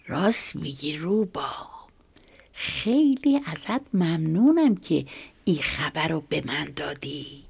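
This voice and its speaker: Woman doing a calm clever rooster, storybook, husky, lively pitch. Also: full character voice. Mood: mock-delighted. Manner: unhurried and cool.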